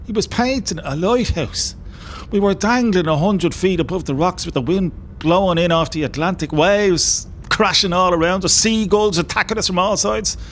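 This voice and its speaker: Casting: male actor as wry Irish volunteer, higher voice, complaining, radio drama, faint ambient noise. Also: normal speed, fairly bright tone. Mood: wry, grumbling. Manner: colloquial, comically complaining. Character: grumbling, wry working-class male rebel volunteer. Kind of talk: audio play acting.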